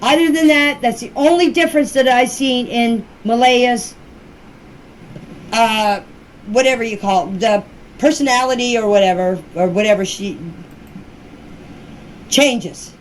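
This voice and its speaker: High-voiced woman, insistent, noisy recording. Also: unhurried, long pauses. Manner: defensive, insistent, emphatic. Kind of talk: personal account answering questions in a voice message. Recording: noisy amateur voice-clip recording.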